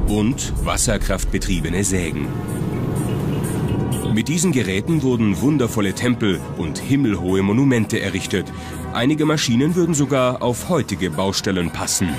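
Guy narrating a documentary, lowish voice, music playing behind him. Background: music under the voice.

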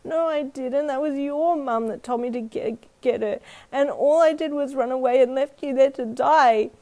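Woman voicing a high, plaintive little boy. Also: moderate pace, a little mellow. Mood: bawling, despairing. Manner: childlike, stammering, plaintive. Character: scared, guilt-ridden little boy, sensitive and self-blaming.

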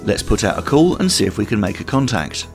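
British man, amateur radio instructor, demonstration style, animated pitch. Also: fast, soft background music. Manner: spells call signs in phonetic alphabet.

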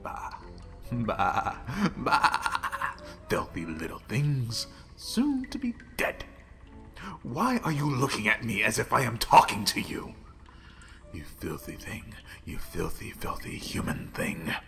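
A man's voice: mid-range voice, huge pitch swings, slow, vocal fry, sharp tone, exaggerated voice. A man voicing an obsessive, contemptuous male villain who breeds a plague, talking in menacing whispers and sing-song repetition over faint music. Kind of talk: villain roleplay audio drama.

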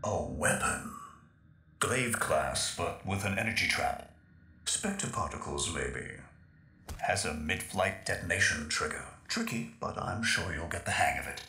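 Echoey, gruff, low-voiced engineer ally. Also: male voice, lively intonation, measured pace, a little hiss or hum, heavily acted. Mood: brisk, encouraging. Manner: blunt, informal. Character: gruff, practical male engineer ally giving mission guidance. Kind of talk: sci-fi game mission dialogue. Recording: echoey, under loud music.

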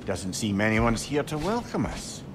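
A man's voice: fairly low pitch, big pitch swings, moderate pace. A man playing a wry, chatty advisor in a video game, with a droll, eloquent, frank way of talking. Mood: musing. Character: wry, chatty, candid male advisor and companion. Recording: game audio with ambient noise.

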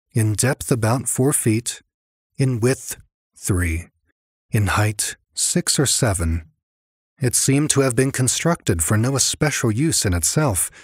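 Slow, husky male gothic narrator. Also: lowish voice, no room sound, clean studio sound. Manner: measured, theatrical. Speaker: audiobook narrator. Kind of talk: dramatic gothic story narration, in character as first-person narrator.

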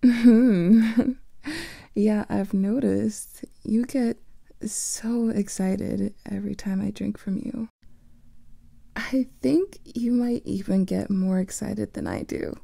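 A young woman voicing a teasing female vampire lover in an ASMR roleplay, whispering softly close to the microphone. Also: medium pitch, fairly even intonation, takes it slowly, no room sound. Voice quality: breathy whisper with vocal fry.

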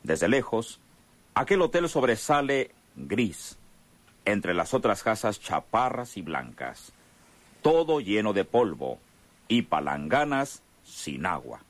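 A man's voice: fairly low pitch, very animated, slow pace, no room sound, full character voice. A man acting as a solemn, florid narrator of an old radio serial. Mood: dramatic, solemn. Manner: dramatic, florid storytelling. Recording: music under the voice.

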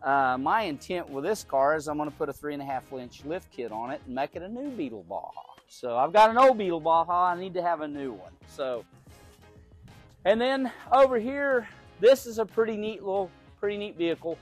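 A middle-aged man from Oklahoma giving a casual, folksy tour of the cars in his large garage, with an unhurried manner and frequent small restarts. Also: a little high, expressive, soft background music. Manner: folksy, unhurried, repeats words while thinking. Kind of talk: casual show-and-tell.

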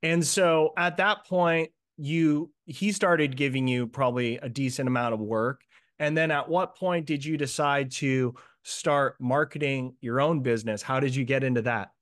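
A man's voice: medium pitch, a bit bright. A man in his thirties hosting an interview over a video call, upbeat and encouraging as he sums up his guest's points. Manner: upbeat, encouraging, quick recaps. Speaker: host, limo marketing coach. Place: room at home. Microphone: earbuds on a video call.

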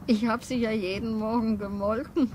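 An actress playing a gentle, forgetful very old grandmother in an early 1950s radio play. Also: fairly high pitch, fairly even intonation, moderate pace, a little hiss or hum, lightly acted. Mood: tender. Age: older. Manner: soft, hesitant recollection. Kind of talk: 1950s radio drama acting.

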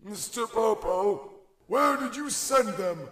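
A man plays an elderly alien guardian in an anime parody. The voice is extremely high, with polite, formal phrasing and an uneasy air. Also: expressive, takes it slowly, a bit bright, a little hiss or hum, exaggerated voice. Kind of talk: anime parody dub acting.

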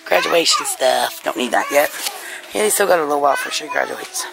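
Gravelly-voiced woman chatting while shopping. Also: low-pitched, fairly quick. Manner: chatty, talking to viewers while browsing. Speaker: vlogger. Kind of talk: casual shop-along commentary. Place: inside a large discount store. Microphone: handheld camera carried while walking. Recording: store background noise. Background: store ambience.

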